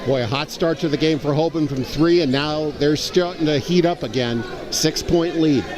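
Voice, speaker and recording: Male analyst, lightly raised register, conversational game commentary amid clear crowd noise. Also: a little high, moderate pace. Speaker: color commentator. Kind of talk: conversational live basketball analysis. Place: indoor gym, up on a balcony behind a basket. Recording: noisy gym crowd behind voice. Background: basketball crowd.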